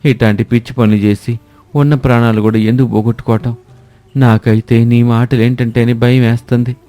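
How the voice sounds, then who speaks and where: A man's voice: medium pitch, flat delivery, a little fast, warm tone, no echo, soft background music, natural voice. A man voicing a cautious, easily worried village man in a moral-story cartoon, earnest and questioning.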